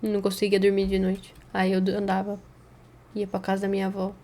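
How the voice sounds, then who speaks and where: A woman's voice: medium pitch, completely flat delivery, a little fast, warm tone. A Brazilian woman chatting casually and playfully as she reacts to a horror video, joking and exaggerating. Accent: Brazilian Portuguese.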